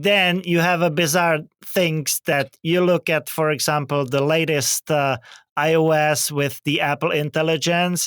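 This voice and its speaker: A man's voice: a little high, fairly bright tone, clean tone, dry recording, studio quality. A man from the Czech Republic with a Czech accent, hosting a podcast interview in a casual, hesitant way with many fillers and restarts. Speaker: podcast host.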